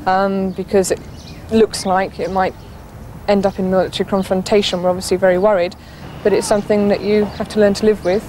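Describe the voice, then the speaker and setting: A woman, a Navy wife, speaking in an archive news interview in a measured, resigned way. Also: mid-range voice, flat delivery, dark tone. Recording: outdoor news clip with street and traffic noise.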